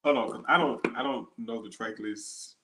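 A man's voice: mid-range voice, normal speed, sharp tone. A man in his thirties chatting casually as an interview guest, explaining his songs as a singer-songwriter. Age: 30s. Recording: roomy, echoey sound.

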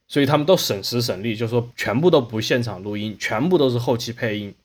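A man's voice: mid-range voice, fast talker, slightly rough. A man talking casually as a podcast host, explaining at length and often rephrasing as he goes. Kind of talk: casual discussion.

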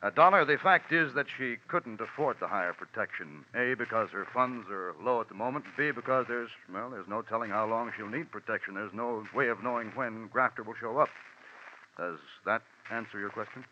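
A placating gentleman from 1940s radio drama. His voice is fairly low with a forceful, cutting edge, and he talks hesitantly. The vintage recording sounds muffled. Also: moderate pace, slight background noise.

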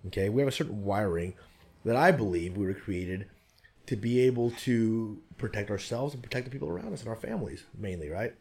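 A husky-voiced man talks quickly on the low side, firing off stories and opinions in a blunt, salty way. He leans hard into emphasis and swears freely as he makes his point in a relaxed group chat. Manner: blunt, profane, emphatic, animated. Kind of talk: casual chat, storytelling.

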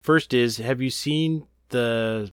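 A man's voice: medium pitch, takes it slowly, clear, resonant tone, no echo, studio quality. A man hosting a casual conversation, earnest and emotional, often hesitating mid-sentence.

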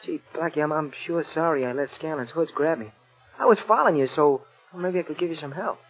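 An actor playing a loyal, dim, anxious male sidekick with a husky, stammering, slangy delivery in 1940s radio style. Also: a little high, a little fast, faint music behind. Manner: stammering, repetitive, slangy bad grammar. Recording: muffled old-time radio recording.

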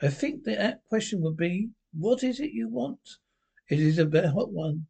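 A sly, smug inner voice that taunts and drags out its words, as a man puts it on. It sounds knowing and mocking, with a fairly high voice. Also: lively intonation, normal speed, creaky, dark tone, husky, full character voice.

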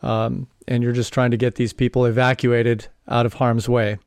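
A male host leads a podcast interview, asking his guest questions with engaged interest. His voice is smooth and clear at an ordinary pitch. It is recorded close, with a dry sound. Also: little pitch movement, moderate pace, darkish tone, dry recording.

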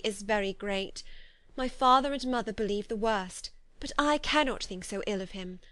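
A woman reading an anxious letter from a gentle young woman in a soft, measured, earnest way. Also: mid-range voice, dry recording, lightly acted.

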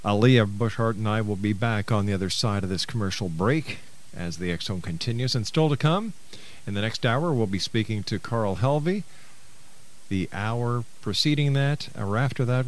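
Male radio host, middle-aged, low voice, interview format. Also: moderate pace.

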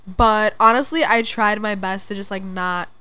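A woman's voice: mid-range voice, fairly even intonation, moderate pace, pushed and bright, clean tone. A young woman hosting a casual solo podcast, chatting loosely with lots of "like" and swearing, her voice congested from allergies. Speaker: podcast host. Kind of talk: casual chat.

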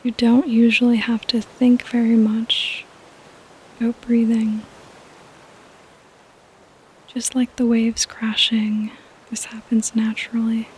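A woman speaks in a soft, breathy near-whisper with a mellow tone. She guides listeners toward sleep gently and soothingly, keeping her delivery very even and unhurried. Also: a little high, a little hiss or hum, low-quality recording. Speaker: podcast host and meditation guide.

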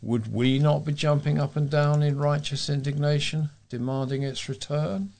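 A man reading readers' letters aloud as a volunteer on a local news recording, with a measured delivery and the occasional stumble. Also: fairly high pitch, vocal fry, darkish tone.

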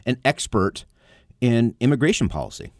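A man's voice: medium pitch, wildly swooping pitch, brisk, vocal fry, dry recording, clean studio sound. A man co-hosting a radio show, asking a guest earnest conversational questions. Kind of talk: interview questions.

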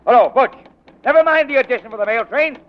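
An actor playing a ruthless, domineering newspaper editor who barks orders in 1940s radio drama style. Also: male voice, very high-pitched, fast talker. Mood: urgent, commanding. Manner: barking, domineering, commanding. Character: ruthless, bullying, fast-scheming newspaper editor, adult man. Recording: muffled 1940s radio recording.

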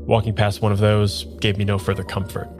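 Low-pitched male actor as weary, lost amnesiac seeker for a noir radio play, measured and halting, music underneath. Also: little pitch movement, natural voice. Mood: brooding, lost. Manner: measured, dramatic pauses when narrating, hesitant in dialogue. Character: bewildered amnesiac man, weary noir narrator seeking answers. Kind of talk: improvised noir radio drama narration.

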